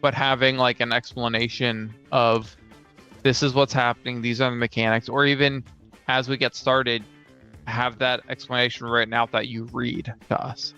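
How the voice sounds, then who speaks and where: A man with a medium-pitched, gravelly voice talks casually as a game player. His delivery is considered and fairly flat in intonation. The audio is a little lo-fi, with faint guitar music behind him. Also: normal speed. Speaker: tabletop game player, podcast co-speaker. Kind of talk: casual chat.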